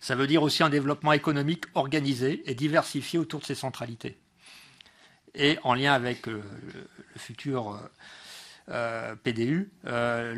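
A man giving a political speech as a local councillor, halting often and correcting himself as he goes. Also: mid-range voice, a bit bright. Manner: hesitant delivery, frequent pauses and self-corrections. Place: council meeting room.

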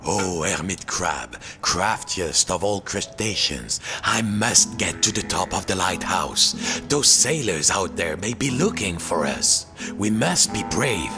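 A man's voice: deep voice, animated, a little slow. A man voicing an earnest, solemn wooden puppet fisherman in a storybook. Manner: solemn, pleading. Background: music.

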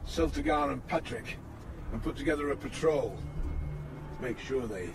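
An actor playing a brisk, authoritative commanding officer in a video game. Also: male voice, medium pitch, distant mic, over music, close to an everyday voice. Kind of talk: video game cutscene acting.

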